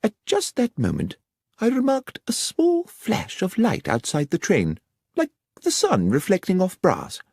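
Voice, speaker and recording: A man's take on a genial, high-lilting elderly country doctor. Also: wildly swooping pitch, a little slow, vocal fry, a little mellow, slightly rough, dry recording, heavily acted. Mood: helpful, recollecting. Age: older.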